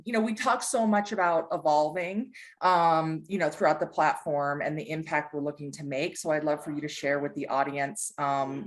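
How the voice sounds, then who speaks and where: A woman hosting an interview podcast, encouraging her guest, with many fillers and restarts. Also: lowish voice. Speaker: podcast host.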